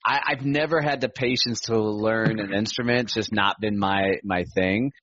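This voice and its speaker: A man's voice: on the low side, steady tone, moderate pace, fairly bright tone, no room sound, lo-fi sound. A man hosting a podcast in casual interview chat, effusive and informal with slangy speech. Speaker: podcast host.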